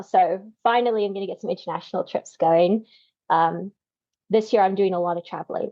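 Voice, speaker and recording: A woman's voice: mid-range voice, a little mellow, no echo. A woman in her twenties from South Africa speaks as a podcast guest over a video call. She is upbeat and chatty, and the audio is dull and dry. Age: 20s. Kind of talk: casual chat.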